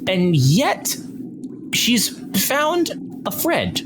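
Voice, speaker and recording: A game master running a tabletop role-playing session, lively and playful, laughing easily with the players. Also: mid-range voice, very slow, noisy background.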